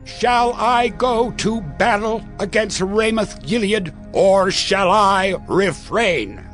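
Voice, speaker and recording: A man's voice: sits high, slow, fairly bright tone, faint music behind. A man playing an imperious, resentful king in a dramatized audio Bible, speaking in a commanding, theatrical way. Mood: rousing, urging.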